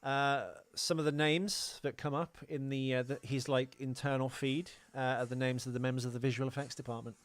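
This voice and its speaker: A man's voice: mid-range voice, steady tone, brisk, few pauses. A man co-hosting a podcast, casually discussing films and trivia in a wry, joking way. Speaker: podcast co-host.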